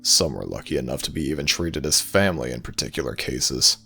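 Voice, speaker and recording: A guarded god of the dead for an audio roleplay. His voice is extremely low and crackles with gravel, with a forceful edge. He speaks in measured, formal phrases, grave and terse. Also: expressive, faint music behind, exaggerated voice. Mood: troubled, indignant. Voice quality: deep, gravelly growl.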